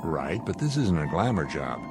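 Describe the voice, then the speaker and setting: A steady, no-nonsense spaceship commander gives clipped orders in a fairly low voice. The radio drama plays out over loud music. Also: male voice, moderate pace. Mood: dry, businesslike. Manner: clipped, authoritative, dry.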